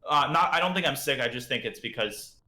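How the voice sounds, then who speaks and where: A man's voice: medium pitch, lively intonation, a little fast, pushed and bright, echoey room. A man with a slightly parched throat, chatting casually as he teaches chess on a live stream and thinks aloud about moves.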